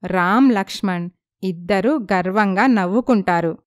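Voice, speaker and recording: A woman's voice: mid-range voice, measured pace, no echo, studio quality. A woman narrating a scripted moral story.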